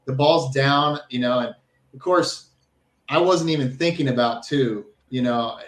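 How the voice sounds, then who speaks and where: Male, American, ex-athlete guest telling stories casually, medium pitch, echoey room sound. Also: a little slow, fairly bright tone, slight background noise. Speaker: former college baseball player, guest. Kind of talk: casual reminiscing in an interview.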